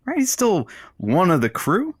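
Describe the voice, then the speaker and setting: A man's voice: sits high, huge pitch swings, measured pace, breathy edge, no room sound, clean studio sound, full character voice. A man playing an earnest, supportive radio producer who fills in as host of a comedy call-in show, talking hesitantly and conversationally. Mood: tactful, reasoning.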